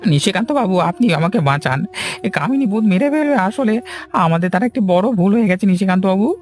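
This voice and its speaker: A man plays a terrified, guilty villager in a horror cartoon. His voice is high for a man and stammers in a whining plea, with music behind it. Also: expressive, brisk. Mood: frantic pleading. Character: panicky guilt-ridden village man begging for his life. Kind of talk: Bengali horror cartoon dubbing.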